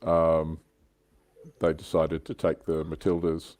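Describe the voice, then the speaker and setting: This is a middle-aged Australian man with a low voice. He chats casually and tells anecdotes with wry, colloquial phrasing. The recording is dry, with very little room sound. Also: monotone, slow, darkish tone, dry recording.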